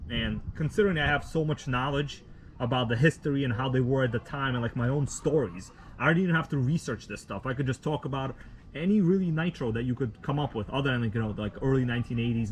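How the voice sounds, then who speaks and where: A man from Russia, a native Russian speaker, hosting a casual live stream outdoors at night, rambling in a relaxed, slangy way with many fillers. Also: mid-range voice, fast talker, slight background noise, poor audio. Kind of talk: casual live stream chat, reading viewer comments.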